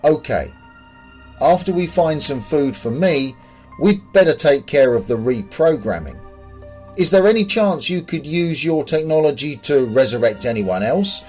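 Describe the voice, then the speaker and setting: A man's voice: fairly high pitch, expressive, measured pace, a little mellow. Narrator as lone male survivor, wry, questioning, conversational, audio story, faint music. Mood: hesitant, practical.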